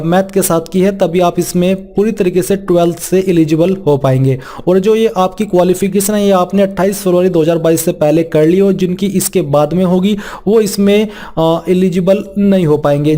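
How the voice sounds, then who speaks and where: A man's voice: high voice, warm tone. A man presenting an informal instructional walkthrough, reading formal text and restating it plainly. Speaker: presenter of a job vacancy explainer.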